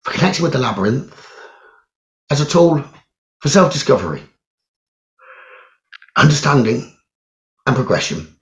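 A man leading a guided meditation in a soothing, measured way, leaving long pauses between phrases. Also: medium pitch, big pitch swings, fairly bright tone. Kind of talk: slow guided meditation narration. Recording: roomy echo, dull lo-fi sound.

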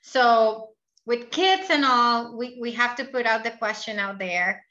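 A woman hosts an interview and greets her guest with eager, effusive praise. Her voice is bright and a little high. The audio is dull and lo-fi. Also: a little slow. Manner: enthusiastic, effusive. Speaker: podcast host, interviewer. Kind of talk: casual interview chat.

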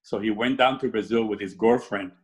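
A man's voice: fairly low pitch, fairly quick. A middle-aged man from Cuba, an artist answering interview questions in a casual, rambling way with many restarts.

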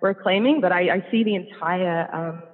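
High-voiced New Zealand guy on a phone line. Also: normal speed, slightly rough. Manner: chatty, laughs often, many restarts. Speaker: podcast guest, consultant. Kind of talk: casual interview talk. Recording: phone-like line with long reverb.

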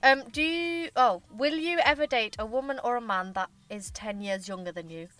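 Bright-voiced young woman, laughing often. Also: a little high, moderate pace. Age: 20s. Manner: laughs often, trails off quietly. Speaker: podcast co-host reading questions. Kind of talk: casual chat among friends.

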